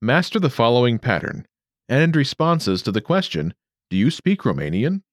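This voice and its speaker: A man narrating a scripted language lesson, giving clear, measured instructions. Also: medium pitch, sing-song, no echo, studio quality. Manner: clear, measured teacher delivery.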